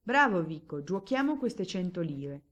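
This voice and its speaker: A woman reading aloud and voicing a ruined male baron, a reckless gambler, with loud, scornful, theatrical speech. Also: lowish voice, very animated, unhurried, heavily acted. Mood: defiant. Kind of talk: audiobook character voice.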